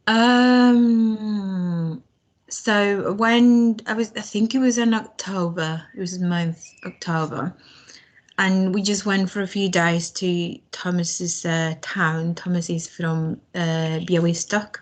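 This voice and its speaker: A woman's voice: fairly low pitch, steady tone, takes it slowly. A young woman from Spain, a language student chatting as a podcast guest, hesitant with many fillers and restarts. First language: Spanish. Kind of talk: casual podcast conversation.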